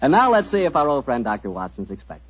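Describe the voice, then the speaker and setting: A man with an American accent announcing and pitching for a sponsor in a folksy 1940s radio style. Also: huge pitch swings, fast talker. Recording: muffled noisy 1940s radio recording.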